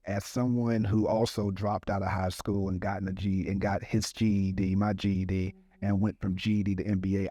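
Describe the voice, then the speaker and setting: A man's voice: lowish voice, warm tone, no room sound. A man hosting a podcast interview, speaking with emphasis and enthusiasm. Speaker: podcast host.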